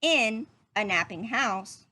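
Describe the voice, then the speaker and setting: A woman's voice: a little high, animated, takes it slowly, sharp tone. A woman reading a picture book aloud to young children like a teacher, drawing out phrases and putting questions to her listeners.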